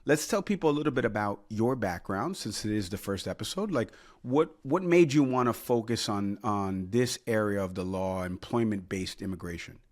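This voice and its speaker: A man with a fairly low voice hosts an interview podcast. He speaks in an easy, conversational way, with casual fillers as he leads into each question. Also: lively intonation, normal speed, few pauses. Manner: friendly and conversational, uses "you know" and "like". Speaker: podcast host.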